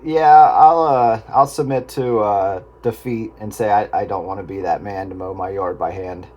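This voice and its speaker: A man chatting casually on a two-way radio, in a halting, folksy way. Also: lowish voice, dull audio. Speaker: radio hobbyist on a group channel. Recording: noisy two-way radio transmission.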